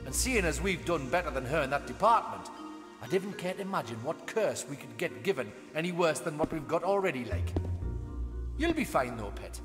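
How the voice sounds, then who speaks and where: A man's voice: fairly high pitch, sing-song, moderate pace, music in the background. An actor playing a sardonic, taunting henchman in a game. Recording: long cavernous reverb.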